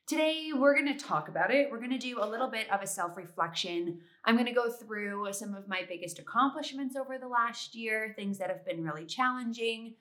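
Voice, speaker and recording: A woman's voice: on the high side, expressive, a little fast, hardly any pauses, fairly bright tone. A young woman hosting a solo podcast in a chatty, upbeat way, congested from allergies. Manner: chatty, upbeat, many fillers. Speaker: podcast host.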